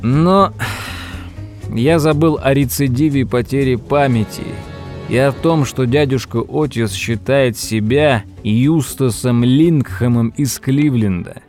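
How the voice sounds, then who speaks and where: An actor playing a nephew who tells his story in expressive, dramatised first-person narration for an audio drama. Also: mid-range voice, slow pace, faint music behind, close to an everyday voice. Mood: dramatic. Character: storytelling nephew recounting his odd uncle's troubles. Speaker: narrator and character.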